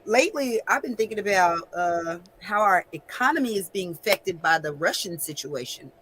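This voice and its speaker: A woman's voice: medium pitch, lively intonation, normal speed, a bit bright, low-quality recording. A woman talking as a panelist in a casual radio discussion, conversational and thoughtful. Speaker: talk show panelist, entrepreneur. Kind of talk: casual unscripted radio talk.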